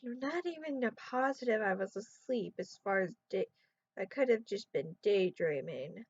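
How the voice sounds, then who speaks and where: A woman talking casually to her camera, sleep-deprived, her voice going hoarse, and rambling as she trails off. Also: mid-range voice, animated, normal speed, darkish tone, dry recording. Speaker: vlogger. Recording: dull, muffled sound in a dry room.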